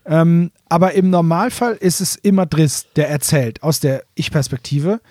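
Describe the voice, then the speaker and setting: A man with a fairly high-pitched voice chats as a podcast host, recorded close and dry. He explains things colloquially and with animation, like a fan talking to fellow fans. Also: moderate pace, warm tone, no echo. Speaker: podcast host presenting audio dramas. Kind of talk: casual fan chat.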